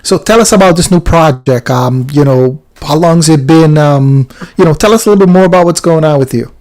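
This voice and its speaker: A man hosting a casual online interview, upbeat and playful, reacting quickly and teasing his guest. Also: fairly high pitch, darkish tone. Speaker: host.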